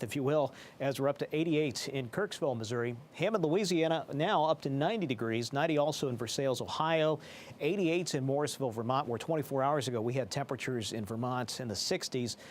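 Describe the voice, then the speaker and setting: Male weather presenter, mid-pitched, formal explanatory forecast delivery, slight background noise. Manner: formal, explanatory broadcast delivery with technical phrasing. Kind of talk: live weather forecast commentary.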